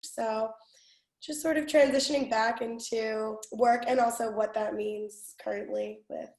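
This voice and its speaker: A young woman, a music therapist, answering interview questions over a video call in a chatty, relaxed way, trailing off softly at the ends of phrases. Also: a little high, unhurried. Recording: roomy echo.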